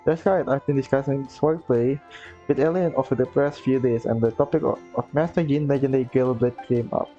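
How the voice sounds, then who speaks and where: An earnest, polite young knight-maid, read aloud by a man in his own plain voice, tripping over a word now and then. His tone is mellow and dark. The recording sounds dull and lo-fi. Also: mid-range voice, fairly even intonation, fairly quick, soft background music, lightly acted. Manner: plain reading aloud with stumbles.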